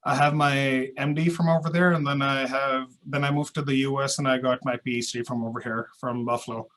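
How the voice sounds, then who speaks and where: A man's voice: medium pitch, fairly even intonation, a little fast, fairly bright tone. A man from Pakistan, a research scientist, answering interview questions in a hesitant way with many uhs and restarts.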